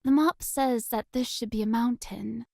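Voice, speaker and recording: A woman's voice: a little high, steady tone, normal speed, creaky, warm tone, no echo, clean studio sound. A woman voicing a dreamy, curious young wandering witch in a visual novel, with a musing, theatrical delivery.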